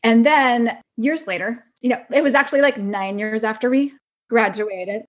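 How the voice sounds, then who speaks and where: A woman's voice: fairly high pitch, moderate pace. Chatty middle-aged woman telling personal stories. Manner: chatty, peppers talk with "like" and "you know". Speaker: podcast guest, actress and singer-songwriter. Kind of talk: casual personal storytelling in a chatty interview.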